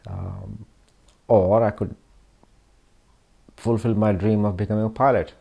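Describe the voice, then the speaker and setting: A middle-aged man from Pakistan, a surgeon speaking hesitantly and reflectively with many pauses in a documentary interview. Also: fairly low pitch, steady tone, gravelly, highs cut off. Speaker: hand surgeon.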